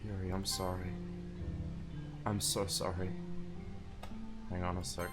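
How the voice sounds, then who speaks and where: A hoarse young man losing his voice, giving casual, swearing, nervous game commentary. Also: low voice, flat delivery, a little slow, loud music behind the voice. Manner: swears a lot, nervous and joking. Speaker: let's play gamer.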